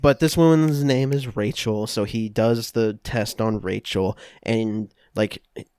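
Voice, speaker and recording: A man co-hosting a podcast, casually recapping a film to friends in a halting, conversational way. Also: on the low side, steady tone, dark tone, clean tone, no room sound, studio quality. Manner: halting, loosely conversational storytelling. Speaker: podcast co-host.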